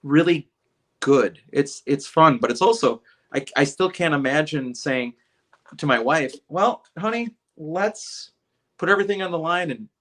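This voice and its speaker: A man's voice: on the high side, lively intonation, measured pace, a bit bright. A man hosting a podcast, a music educator, talking in a loose, rambling way with many restarts. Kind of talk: casual conversation.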